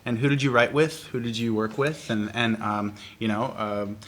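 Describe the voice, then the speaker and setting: A man hosting a radio interview in a recording studio, relaxed and encouraging with frequent affirmations. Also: lowish voice, normal speed. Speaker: radio show host. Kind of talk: casual interview.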